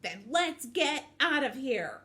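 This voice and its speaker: A woman's voice: high-pitched, sing-song, slow, sharp tone, slight background noise, voice pushed up, exaggerated voice. A woman voicing a storybook male shark disguised as a mother hen, emphatic, commanding yet reassuring. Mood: rallying.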